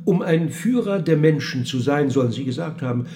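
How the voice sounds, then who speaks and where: An actor playing a cool, probing interviewer of the dead in a German radio play, speaking in a measured, dry and pointed way. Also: male voice, fairly high pitch, lively intonation, music in the background. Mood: measured reciting.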